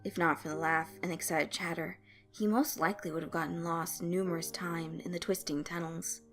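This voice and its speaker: A woman's voice: lowish voice, fairly even intonation, normal speed, a bit bright. A woman reading scripted fan fiction as a storyteller. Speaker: narrator. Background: background music.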